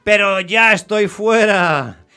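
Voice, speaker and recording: An actor playing a chirpy, apologetic petty thief in a radio play, drawling and dropping in mock-Italian words. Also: male voice, sits high, slow, bright, forceful tone, clear, resonant tone, heavily acted. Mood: exuberant. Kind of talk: radio drama acting.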